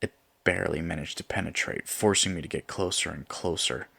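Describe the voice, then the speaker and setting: Guy reading a horror story, really low voice, suspenseful and measured, super dry sound. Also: steady tone, creaky, sharp tone, slightly rough, no echo. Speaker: horror narrator. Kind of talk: scripted first-person horror story narration.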